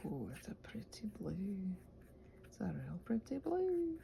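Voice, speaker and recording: A woman's voice: mid-range voice, huge pitch swings, unhurried, vocal fry, mellow, slight background noise. A woman chatting casually in a whimsical, digressive way while she does crafts. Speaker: craft vlogger.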